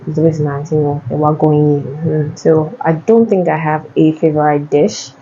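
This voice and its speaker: Low-voiced Nigerian woman chatting. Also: steady tone, normal speed, mellow, muffled recording, a little hiss or hum. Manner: chatty, repeats herself, many fillers. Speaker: vlogger. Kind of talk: casual Q&A chat answering viewers.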